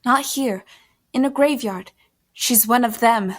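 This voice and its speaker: Woman acting Elise, a high-voiced, frightened fugitive. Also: slow. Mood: anxious, earnest. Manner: earnest, hesitant, pleading. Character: Elise, frightened, bewildered young woman on the run. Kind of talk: audio drama acting.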